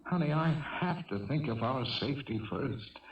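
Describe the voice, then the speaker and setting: A man's voice: mid-range voice, animated, moderate pace, slight background noise, lightly acted. An actor playing an anxious, pleading family man held hostage in a 1970s radio drama. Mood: urgent, pleading. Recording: dull, echoey 1970s radio recording, distant.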